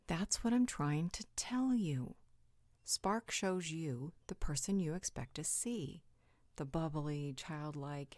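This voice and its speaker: A woman's voice: low voice, darkish tone, no room sound, studio quality. A woman playing a perceptive, frank confidante in an audio roleplay, reasoning in a direct, insistent way.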